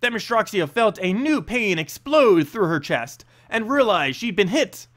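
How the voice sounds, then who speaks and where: A man narrating a fantasy story aloud in an expressive, dramatic storybook style. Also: sits high, unhurried. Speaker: narrator and channel host.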